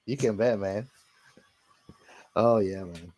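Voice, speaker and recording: A man's voice: on the low side, takes it slowly, dark tone, no room sound, low-quality recording. A man chatting casually as a podcast co-host, very informal and often tagging his phrases with "man". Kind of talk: casual film podcast chat.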